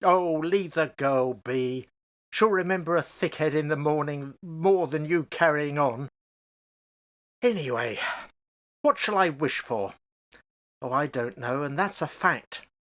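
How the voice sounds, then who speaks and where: A man's voice: on the high side, unhurried, long pauses, fairly bright tone. A man acting in a radio play as a genial, curious, stubborn father with a hesitant, good-humoured manner. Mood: musing, amused.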